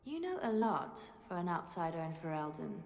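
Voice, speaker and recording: A woman's voice: fairly low pitch, sing-song, normal speed. A woman playing a guarded, defiant young female bard in video game dialogue, with pointed questioning. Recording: echoey hall reverb with music.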